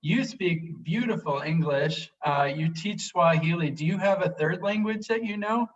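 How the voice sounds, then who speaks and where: A man's voice: fairly high pitch, normal speed. A man hosting a livestream, moderating questions in an upbeat, encouraging way. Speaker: livestream host. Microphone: video call. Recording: distant, muffled video call audio.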